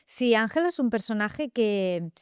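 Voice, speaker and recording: A middle-aged woman with a Catalan accent, a novelist talking reflectively in an interview, often pausing with fillers and tag questions. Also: a little high, expressive, smooth, no room sound, clean studio sound. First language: Spanish. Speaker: guest novelist. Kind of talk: thoughtful interview talk.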